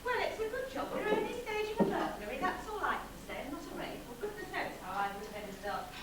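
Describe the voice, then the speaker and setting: A woman's voice: very high voice, very animated, fast talker. A woman playing a devious, poised British wife in a crime drama, theatrical and arch in delivery. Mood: teasing. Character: devious, poised wife scheming her husband's murder. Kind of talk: stage-like crime drama dialogue. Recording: very echoey, distant, very noisy recording.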